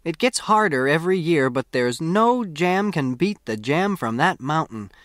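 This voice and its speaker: A man's voice: fairly high pitch, sing-song, unhurried, a bit bright, dry recording, studio quality, pitched above the performer's own voice. A man voicing a chatty, bossy old country woman in an audiobook, with rambling, folksy, insistent talk. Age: older.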